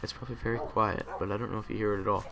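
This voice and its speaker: A young man hosting a gaming channel, tired and a bit raspy, rambling casually to himself as he plays. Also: fairly low pitch, fairly even intonation, very fast. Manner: rambling, talks to himself while playing. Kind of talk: casual gameplay commentary. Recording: clear typing noise behind. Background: keyboard typing and clicks.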